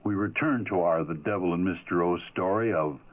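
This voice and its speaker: A man's voice: sits low, a little fast. A man announcing an old radio drama in a scripted style. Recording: distant, noisy narrow-band radio recording.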